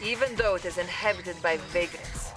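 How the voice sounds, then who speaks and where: Actress as earnest officer over loud music. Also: female voice, fairly low pitch, moderate pace, natural voice. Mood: urgent. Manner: earnest, precise, formal. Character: poised, dutiful female Soviet intelligence officer. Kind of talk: video game mission briefing acting. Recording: loud game music behind.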